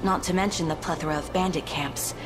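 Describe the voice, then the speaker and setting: A woman's voice: low-pitched, steady tone, brisk, over music, natural voice, poor audio. A woman playing a composed, loyal companion to a prince in a fantasy video game, speaking formally. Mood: composed, explaining. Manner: formal, poised.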